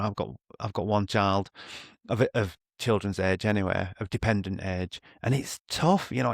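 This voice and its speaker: Male interviewer, conversational and halting, lowish register, very little room sound. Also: no echo, clean studio sound. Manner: halting, rambling, thinking aloud. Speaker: podcast host.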